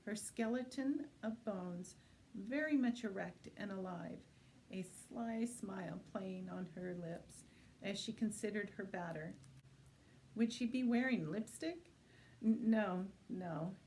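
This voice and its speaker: A woman reads a suspense story aloud as a library narrator. Her voice sits at a middle pitch with a dark, relaxed tone. There is faint noise behind her. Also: unhurried, low-quality recording. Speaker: library reader introducing and reading a book aloud. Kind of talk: audiobook-style reading of a thriller novel.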